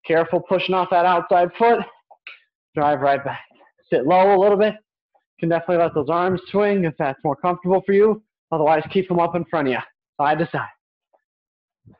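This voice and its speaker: A man's voice: high voice, moderate pace, pauses often. A young man coaching a workout as he moves, giving encouraging, short, repeated instructions. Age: young adult. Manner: physically active while talking. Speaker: fitness coach. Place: small indoor home gym. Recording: dull lo-fi webcam audio.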